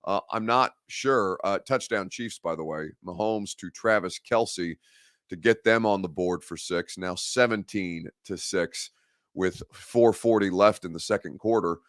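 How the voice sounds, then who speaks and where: A man hosting a live-stream sports talk show, hoarse and gravelly from a head cold, rambling and conversational as he reads viewer comments. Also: low voice, unhurried, a bit bright, dry recording, studio quality. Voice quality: gravelly, growly.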